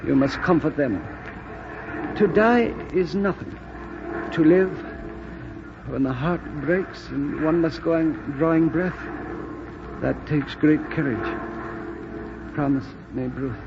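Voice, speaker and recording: An actor playing a polite, stoic lieutenant, speaking formally and haltingly in a 1940s radio drama. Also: male voice, fairly high pitch, gravelly. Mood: weak, gentle. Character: polite Chinese lieutenant guide, earnest, stoic. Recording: muffled old radio, engine noise.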